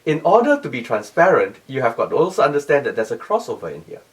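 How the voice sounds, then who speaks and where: Male interviewee, technical explainer. Lively intonation, repeats points for emphasis, voice sits back from the microphone. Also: medium pitch, fast talker, recorded from a distance. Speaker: loudspeaker company CEO, interview guest. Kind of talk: interview explanation of audio design.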